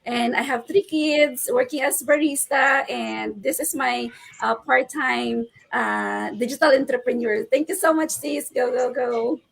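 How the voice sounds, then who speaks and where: A woman's voice: high-pitched, measured pace, vocal fry, few pauses. A woman, casually introducing herself to a livestream audience. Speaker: livestream participant.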